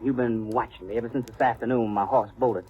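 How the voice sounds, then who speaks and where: Man as a fast-talking touchy cowhand. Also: mid-range voice, steady tone, darkish tone, slightly rough, natural voice. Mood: resentful, challenging. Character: touchy, resentful younger brother, male cowhand. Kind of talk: 1950s radio western acting. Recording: dull old radio recording.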